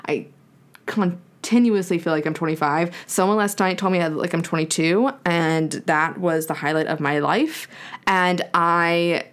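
A woman's voice: fairly low pitch, fairly even intonation, measured pace. A woman turning thirty, co-hosting a podcast in casual, reflective chat that rambles with lots of "like". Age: 30s. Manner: reflective, rambling with many likes. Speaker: podcast co-host.

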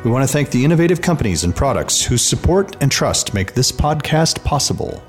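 A man reading a scripted sponsor list in a measured, formal way. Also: medium pitch, very animated, music in the background. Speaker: sponsor announcer.

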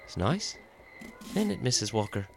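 A performer playing a quiet, wistful frontier youngster in a radio drama. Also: medium pitch, sing-song, moderate pace, gravelly. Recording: noisy night ambience behind.

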